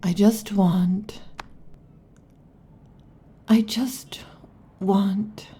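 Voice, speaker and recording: A woman voicing a restless, irritable female snake-tailed lamia in an ASMR roleplay, huffy and dramatic, often slipping into soft whispers. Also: medium pitch, steady tone, extremely slow, husky, exaggerated voice.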